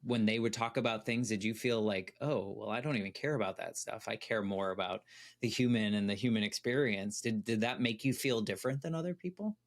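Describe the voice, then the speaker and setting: A man's voice: on the low side, brisk. A man hosting a podcast interview, asking questions in an encouraging, conversational way. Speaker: podcast host.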